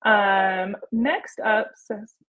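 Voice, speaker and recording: A woman hosting a live-stream Q&A, moving briskly between questions with upbeat reactions. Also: mid-range voice, steady tone, fairly bright tone. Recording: muffled lo-fi audio.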